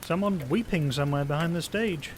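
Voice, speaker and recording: A man's voice: on the high side, brisk, background noise, natural voice. A man playing a timid, pleading young husband and father in an audio drama, hesitant and awkward in manner. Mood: gloomy. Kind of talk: naturalistic audio drama acting.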